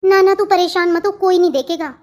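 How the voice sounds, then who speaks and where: Woman playing a high-pitched restless lovesick young wife. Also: fairly even intonation, a little fast, darkish tone. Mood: eager, secretive. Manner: pleading, eager, dramatic. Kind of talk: melodramatic family cartoon dialogue.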